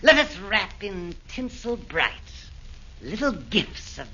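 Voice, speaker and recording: A gloating Caligula savors every word at a very slow pace, his bright voice rising and falling widely. The sound is dull and lo-fi, like old radio. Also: sits high, very animated, breathy edge, exaggerated voice. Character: Caligula, gloating former emperor demon. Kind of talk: 1940s radio acting.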